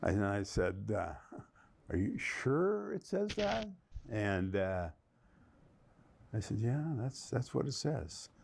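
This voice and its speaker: A man's voice: fairly low pitch, slow, creaky, long pauses, a little hiss or hum. A man, a lawyer, telling a story in a documentary interview and acting out the quotes. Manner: animated retelling with acted-out quotes.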